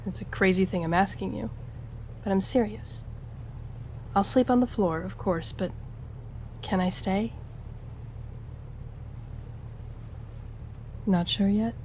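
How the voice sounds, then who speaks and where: A woman's voice: medium pitch, measured pace, pauses often, a little mellow, slight background noise, lightly acted, low-quality recording. A woman playing a charming, flirtatious gambler and stowaway in an intimate audio roleplay, teasing and conversational as she speaks to the listener. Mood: earnest, hopeful. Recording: music behind the voice.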